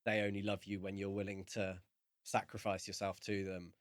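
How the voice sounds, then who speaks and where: A man co-hosting a podcast, analysing a book in casual talk with measured, halting phrasing. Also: low-pitched, flat delivery, dry recording, clean studio sound. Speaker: podcast co-host.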